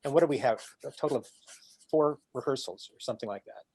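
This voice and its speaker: A man's voice: medium pitch, a little mellow, husky. A middle-aged man, a playwright, speaking casually and hesitantly in a discussion on a video call. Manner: hesitant, halting. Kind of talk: casual post-show discussion. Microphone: laptop video call.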